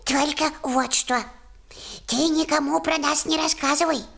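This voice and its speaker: Very high-pitched bossy little house sprite. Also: female voice, steady tone, a little slow, gravelly, a bit bright, full character voice. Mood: earnest, grave. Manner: commanding, fussy. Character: bossy, fussy little male house spirit. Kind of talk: audio fairy-tale play acting.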